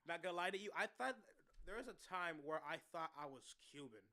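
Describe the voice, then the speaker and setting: A man's voice: on the high side, lively intonation, fast, bright, forceful tone. A young man from Miami co-hosting a live radio show, chatting casually and rambling with restarts as he tells stories about his family. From Miami, USA. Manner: rambling, restarts, laughs at himself. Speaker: co-host. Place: radio studio.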